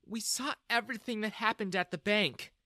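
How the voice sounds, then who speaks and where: A kind middle-aged mother who speaks softly and apologetically, as a man puts her on. The voice is raised high and bright. The recording is dry and close. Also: moderate pace, no room sound, heavily acted. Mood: gentle. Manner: soft, apologetic.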